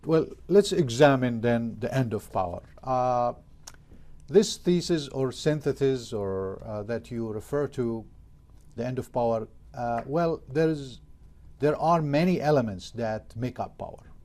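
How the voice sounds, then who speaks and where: A man's voice: medium pitch, a little slow, darkish tone. A man hosting a formal TV interview, introducing his guest and asking long, hesitant questions with many fillers. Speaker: TV interview host.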